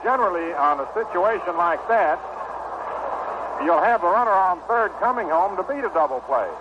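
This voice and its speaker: A man's voice: high voice, expressive, moderate pace. Noisy vintage radio baseball announcer, American man. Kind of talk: live 1960 radio sports commentary. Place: ballpark broadcast booth. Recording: noisy muffled vintage 1960 radio broadcast.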